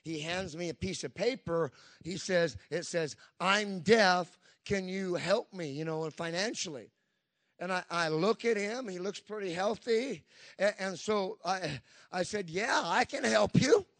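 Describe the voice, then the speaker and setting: Male preacher, fifties, high and bright, emphatic sermon delivery with congregation asides. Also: measured pace. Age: 50s. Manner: emphatic, call-and-response asides. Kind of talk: Pentecostal sermon.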